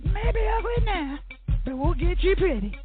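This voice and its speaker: A woman's voice: very high voice, sing-song, normal speed. A woman singing a hip hop advertising jingle for a local shop. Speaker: advertisement singer. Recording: phone-like sound under loud hip hop music.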